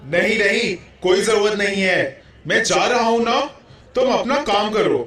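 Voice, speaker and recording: A man's voice: high voice, unhurried, fairly bright tone. A man playing a lazy, dismissive husband and father in a puppet show, theatrical and bossy. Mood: conceding. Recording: very echoey hall, distant mic.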